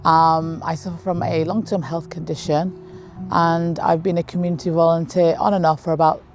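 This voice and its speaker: A woman, speaking in a measured, matter-of-fact way. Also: deep voice, level tone. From Manchester, England. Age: 30s. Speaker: student and community volunteer. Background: music.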